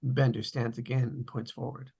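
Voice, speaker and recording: Male narrator for table read: gravelly fry, raspy tone, scripted stage directions. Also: mid-range voice, level tone, normal speed, warm tone.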